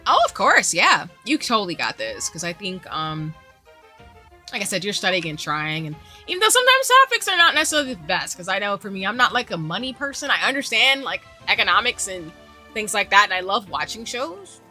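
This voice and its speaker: A woman's voice: medium pitch, very animated, brisk, sharp tone, soft background music. A young adult woman streaming a game, rambling casually to her viewers with lots of asides and fillers. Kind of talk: casual chat with stream viewers while gaming.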